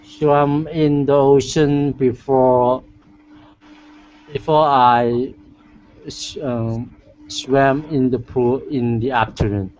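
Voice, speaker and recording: Very slow, halting man with many fillers. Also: medium pitch, little pitch movement, darkish tone. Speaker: language student. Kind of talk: casual class chat. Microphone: computer microphone on a video call. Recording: muffled lo-fi online call audio with faint noise.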